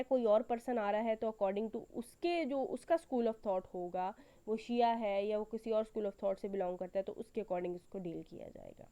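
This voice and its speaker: A woman's voice: a little high, mellow. A woman with a Pakistani accent giving an explanatory law lecture in a didactic way, repeating key points and checking in as she goes. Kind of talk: explanatory lecture, Hindi-Urdu mixed with English.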